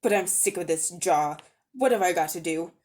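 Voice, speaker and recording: A woman voicing a brave, hot-tempered schoolboy in a dramatic storybook reading, speaking bluntly and forcefully. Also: lowish voice, animated, moderate pace, close to an everyday voice. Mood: forceful, fed up. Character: indignant, brave, outspoken English schoolboy.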